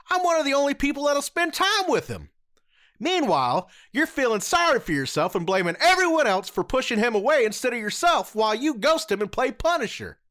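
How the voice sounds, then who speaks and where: An earnest, protective former soldier, voiced by a woman in an audio drama. He lectures with force, and his pitch rises and falls a lot. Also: fairly bright tone. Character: earnest adult male ex-soldier ranger, protective. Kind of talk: amateur audio drama acting.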